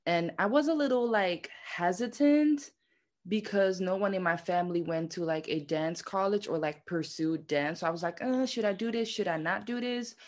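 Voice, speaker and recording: A woman's voice: on the low side, normal speed, a little mellow. A woman in her twenties from the Netherlands, a dancer chatting casually as the guest of an interview. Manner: chatty, says "like" a lot.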